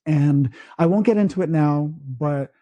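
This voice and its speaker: Slow, dark-voiced man from the Philippines. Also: on the high side, no room sound, muffled recording. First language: Tagalog. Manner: slow, halting, many restarts. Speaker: podcast co-host. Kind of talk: casual chat.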